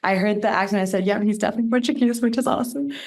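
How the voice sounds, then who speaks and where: A woman's voice: medium pitch, very fast, a little mellow. A woman from India hosting a podcast interview, upbeat and chatty with lots of "like" and "really". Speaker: podcast host.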